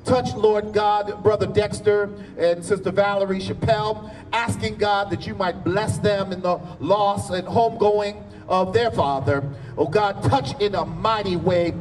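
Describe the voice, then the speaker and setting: A middle-aged pastor leading prayer and church announcements at an outdoor service, speaking with a measured, fervent cadence into a handheld mic. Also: male voice, high voice, darkish tone. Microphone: handheld mic at a podium, filmed by a far camera. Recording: distant outdoor mic with faint ambience.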